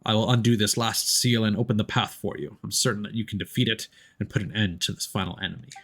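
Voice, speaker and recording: A man voicing a wise, gentle old forest spirit guardian from a video game, with formal, measured speech. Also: lowish voice, steady tone, breathy edge. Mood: solemn.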